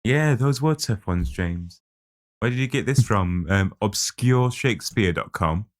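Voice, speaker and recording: A man's voice: lowish voice, lively intonation, unhurried. A man co-hosting a podcast with casual banter. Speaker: podcast co-host.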